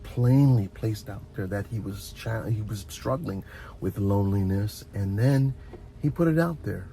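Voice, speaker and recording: A middle-aged man giving an informal, heartfelt advice monologue as a host, speaking earnestly and reflectively, often halting and repeating words. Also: lowish voice, vocal fry, dark tone, faint music behind. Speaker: host of a relaxation and advice channel.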